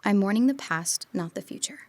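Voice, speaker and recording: A woman's voice: medium pitch, expressive, brisk, a little mellow, dry recording. A young woman performing a radio drama monologue, hesitant and wistful, sometimes dropping to a whisper. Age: young adult.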